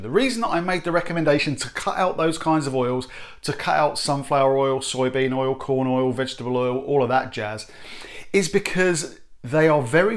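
A man, explaining nutrition to camera in an animated, emphatic way. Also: fairly high pitch. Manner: animated, emphatic, gestures with hands. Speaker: nutrition presenter. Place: home studio room. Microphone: close to camera, medium shot.

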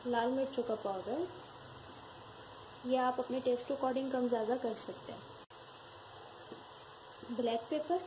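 A woman with a speech hosting a home cooking tutorial, calmly walking viewers through each step in her kitchen. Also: fairly high pitch, a little slow, pauses often. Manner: calm, instructive, addresses viewers directly. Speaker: cooking channel host. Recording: noisy home recording with background ambience.

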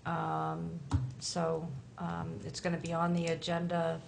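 A middle-aged woman official answers questions at a town board meeting, her voice low, flat and deeply gravelly. She explains in a matter-of-fact way over faint room noise. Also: takes it slowly.

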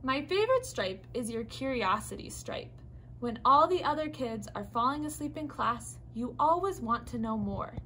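A young woman reads a children's story in a fairly high voice. The recording carries a faint background noise. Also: expressive, normal speed, fairly bright tone. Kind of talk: reading a children's story aloud.

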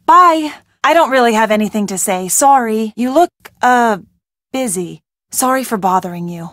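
A woman's voice: fairly high pitch, lively intonation, moderate pace, fairly bright tone, no echo, studio quality. An actress playing a curious young female detective, delivering short, casual and direct lines of video game dialogue. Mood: friendly, apologetic.

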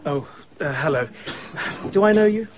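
Actor as a high-voiced, polite charity worker. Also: male voice, expressive, moderate pace, highs cut off, slight background noise, close to an everyday voice. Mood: uncertain. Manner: polite, hesitant. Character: polite, hesitant man running homeless drop-in centre. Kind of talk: radio drama acting.

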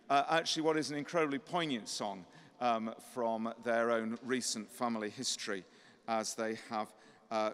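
A middle-aged clergyman speaks at a lectern microphone, his voice slightly croaky yet smooth and clear. He delivers a courteous, gently humorous welcome. Long cathedral reverb trails each phrase. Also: mid-range voice. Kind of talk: formal welcome address. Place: large cathedral.

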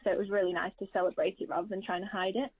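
A woman's voice: medium pitch, little pitch movement, very fast, husky, no room sound. Young Yorkshire woman on a phone-like line. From Doncaster, Yorkshire, England. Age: 20s. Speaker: guest, YouTuber. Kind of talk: casual interview answers. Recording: muffled phone-line sound.

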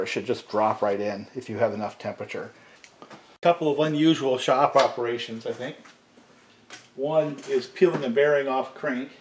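A middle-aged man casually explaining repair steps at his workbench, in a relaxed, folksy way while handling tools. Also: medium pitch. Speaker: small engine repair channel host. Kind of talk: casual workshop demonstration. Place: cluttered home workshop at a workbench. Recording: echoey workshop with loud tool noise.

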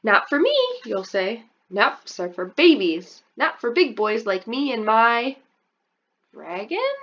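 Woman, preschool teacher, picture book read-aloud, slow and unhurried, asks questions about the pictures, lo-fi muffled sound. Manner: expressive, pausing to ask questions about the pictures; raises her pitch for the children's lines in the story.

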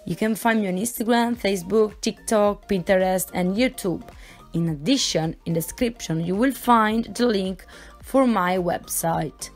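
Female narrator, mid-pitched, measured scripted tour voice-over over faint music.

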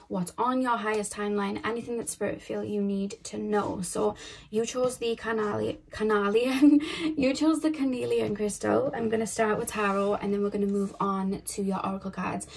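A young woman giving a casual tarot reading, rambling and repeating herself, her voice a little croaky. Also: mid-range voice, a little mellow, slight background noise, rough recording. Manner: chatty and rambling, repeats phrases, many fillers. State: slightly croaky voice. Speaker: tarot card reader. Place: indoors at a table, camera overhead.

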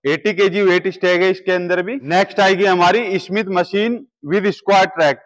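High-voiced man touring gym machines. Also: unhurried. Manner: steady sales explanation, pointing at each machine. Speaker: gym equipment seller. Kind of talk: product walkthrough. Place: large indoor equipment warehouse. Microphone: lapel mic.

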